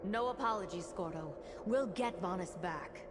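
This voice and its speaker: A woman acts out a composed young sorceress from a fantasy game. Her voice sits at an average pitch and she speaks formally, with measured resolve. There is slight noise in the sound. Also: natural voice. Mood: composed, resolute.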